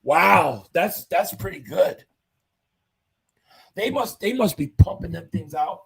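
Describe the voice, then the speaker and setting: Man, livestream host, gravelly and hoarse from losing his voice, upbeat and chatty. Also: high voice, expressive, takes it slowly, a bit bright. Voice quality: husky, fading voice. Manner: enthusiastic fan reading chat comments aloud. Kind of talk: casual live-chat commentary.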